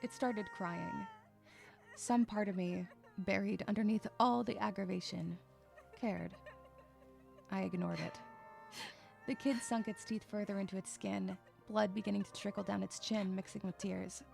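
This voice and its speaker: A woman playing an irritable, sarcastic, troubled young female loner, narrating a first-person audio drama with expressive, sighing delivery. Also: medium pitch, a little slow, vocal fry, faint music behind. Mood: tense, brooding.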